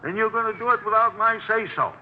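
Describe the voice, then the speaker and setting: An actor playing a surly, defensive landlord in a 1950s radio drama, gruff and evasive, with folksy ungrammatical speech. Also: male voice, high-pitched, quick pace, natural voice. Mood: defiant, warning. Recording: dull noisy 1950s radio recording.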